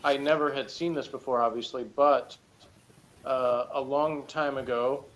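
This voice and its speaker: A man's voice: mid-range voice, steady tone, a little slow. A man in a casual review chat, thinking aloud in a rambling, tentative way as a guest reviewer. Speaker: guest reviewer discussing TV episodes. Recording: noisy recording with background ambience. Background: noticeable noise and ambience.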